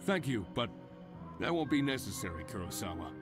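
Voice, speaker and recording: A man's voice: medium pitch, very animated, a little fast, natural voice. An actor playing a stern, accusing male store manager in a visual novel, formal and condescending. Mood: cold, authoritative. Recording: music behind.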